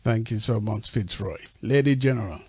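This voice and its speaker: A man's voice: lowish voice, moderate pace, a little mellow, slightly rough. A man hosting a radio talk show over a video call, often restarting and repeating his words. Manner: hesitant, repeats words as he starts.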